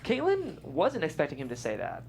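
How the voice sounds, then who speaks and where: A narrator reading stage directions aloud at a script table read, amused and lively. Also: medium pitch, moderate pace, vocal fry, slight background noise.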